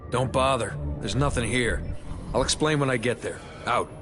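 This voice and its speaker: An actor playing a wary soldier on a search team in a video game, muttering in a hushed way. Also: male voice, mid-range voice, moderate pace, lightly acted. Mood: subdued, curt. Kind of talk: scripted game cutscene acting.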